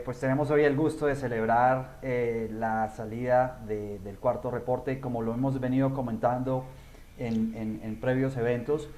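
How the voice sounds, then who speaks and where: A man co-hosting a webinar over a video call, halting and full of "eh" fillers. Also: medium pitch, a little mellow. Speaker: webinar co-host. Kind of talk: webinar presentation.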